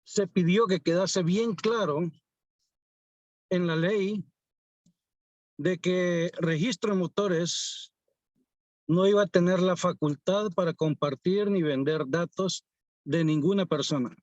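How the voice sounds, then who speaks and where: A man takes his time on a video call, speaking slowly and deliberately with many pauses. His voice is a little higher than most men's and sounds dry and close. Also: a little mellow, no room sound, muffled recording. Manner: slow, deliberate, pausing often. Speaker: community organizer. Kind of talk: workshop discussion.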